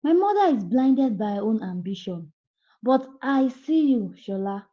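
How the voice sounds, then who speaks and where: A woman's voice: fairly high pitch, big pitch swings, measured pace, muffled recording. A woman voicing an earnest, defiant young stepsister in a folktale. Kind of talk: folktale character voice.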